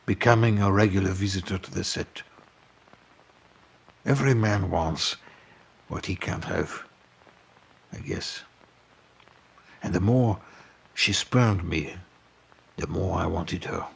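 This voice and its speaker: A man's voice: deep voice, slow, pauses often, exaggerated voice. An actor playing a snarling, misanthropic French film producer in his eighties, grandiose and florid in a scripted documentary-style interview. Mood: obsessed, brooding. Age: 80s. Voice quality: gravelly, raspy.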